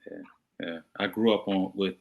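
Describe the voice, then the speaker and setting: A man's voice: deep voice, steady tone, unhurried, vocal fry, darkish tone. A man, a doctor guest talking in an easygoing, laid-back way. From New York City. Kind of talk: casual interview chat.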